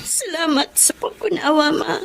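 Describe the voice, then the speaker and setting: An actress playing an earnest, dutiful grown daughter in a Filipino radio drama. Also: female voice, sits high, normal speed, creaky, a little hiss or hum. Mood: shy, grateful. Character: hurt, dutiful grown daughter, a longtime family breadwinner. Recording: music under the voice.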